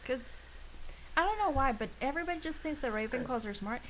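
Woman co-host, high voice, offhand banter, faint hiss on the line. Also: expressive, fairly quick, rough recording. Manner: offhand, self-mocking banter. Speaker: podcast co-host. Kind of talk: casual chat.

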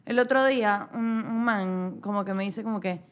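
A woman's voice: mid-range voice, moderate pace. A woman in casual chat as a podcast co-host, hesitant and rambling, repeating herself.

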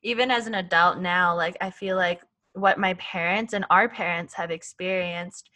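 A woman's voice: fairly low pitch, fairly even intonation, normal speed, bright, forceful tone. A woman from Canada co-hosting a podcast, speaking earnestly and thoughtfully with frequent "like"s. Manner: earnest, thoughtful, uses "like" often. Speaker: podcast co-host. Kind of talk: casual conversation.

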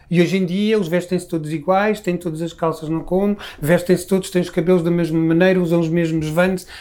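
A man's voice: sits high, darkish tone. A middle-aged man from Lisbon with a Lisbon Portuguese accent, talking as a guest in a casual, reflective conversation full of restarts. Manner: reflective, rambling with many restarts.

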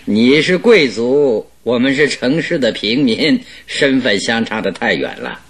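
An actor in a radio drama playing a genial, self-assured detective with a teasing, politely probing manner. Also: male voice, mid-range voice, lively intonation, unhurried. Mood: amused, teasing. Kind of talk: Chinese radio drama acting.

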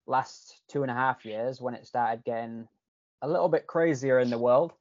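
A man's voice: mid-range voice, moderate pace, darkish tone, no room sound. A man speaks with a Yorkshire accent that has picked up a Canadian tinge along the way. He talks in a loose, chatty way, restarting his sentences and swearing now and then. The recording is dry and a little muffled. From England. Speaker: podcast guest. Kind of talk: casual podcast conversation.

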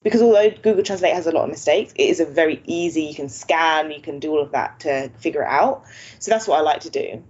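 A woman's voice: deep voice, quick pace, a little mellow, husky. A native English-speaking woman hosting a language-learning video, talking casually and laughing often. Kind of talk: casual chat. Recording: dull lo-fi sound.

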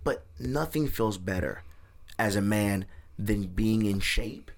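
A man's voice: fairly low pitch, slow pace. A man talking as a podcast guest, joking, blunt and profane in casual chat.

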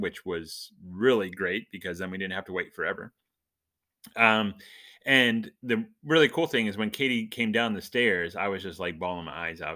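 A middle-aged man with a bright, punchy voice at an ordinary male pitch, slightly on the low side. He hosts a casual podcast and tells his stories chattily, building up his points with emphasis. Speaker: podcast host.

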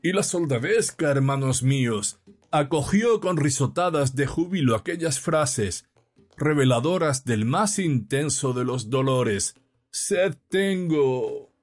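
A male reader puts on a solemn preacher delivering a sermon in a short story. The voice rises well above normal speech, slightly husky, and lingers on each phrase with theatrical, sorrowful weight. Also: medium pitch, animated, voice pushed up. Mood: mournful. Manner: declamatory, mournful, drawn-out phrasing. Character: solemn, theatrical priest preaching the Passion from pulpit.

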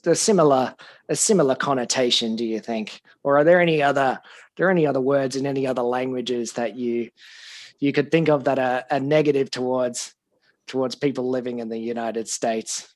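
An Australian man hosts a relaxed podcast conversation in a voice of middling pitch. He poses long, thoughtful questions and reflects on what his guest says. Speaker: podcast host. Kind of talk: casual interview chat.

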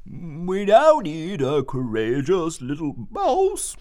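A woman's voice: deep voice, huge pitch swings, slow, warm tone, heavily acted. A woman voicing a stern, stately old grandfather mouse who calls the mice to order.

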